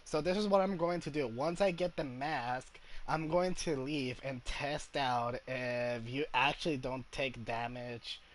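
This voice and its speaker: A man giving casual gameplay commentary, halting and thinking aloud as he plays. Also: medium pitch, slight background noise. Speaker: gamer commentating on own gameplay. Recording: game music behind the voice.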